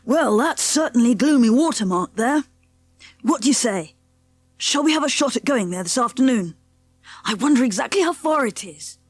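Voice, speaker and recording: A woman's voice: on the high side, expressive, normal speed, breathy edge, no echo. A woman voicing a confident, polite schoolboy who leads his friends in a children's audiobook. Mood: keen, thoughtful. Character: confident, polite schoolboy leader, eager and decisive. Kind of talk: children's audiobook character acting.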